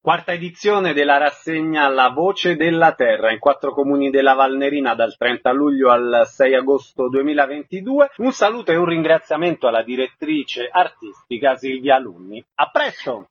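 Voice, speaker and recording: A man's voice: medium pitch, normal speed, few pauses, breathy edge. Male radio interviewer, thin phone-like sound. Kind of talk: radio interview, announcing event details.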